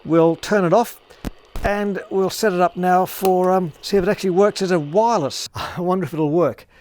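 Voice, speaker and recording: A man's voice: high voice, steady tone, normal speed, a little mellow. A man giving a casual workshop demonstration, thinking aloud with some hesitation. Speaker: hobbyist radio repairer and presenter.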